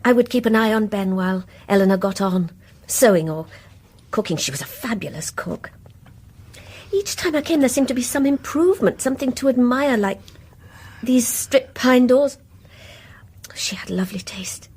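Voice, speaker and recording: A woman's voice: a little high, animated, moderate pace, darkish tone, breathy edge. An actress playing a self-doubting married neighbour in a British radio play, reticent and wistful as she confides. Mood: wistful, confiding.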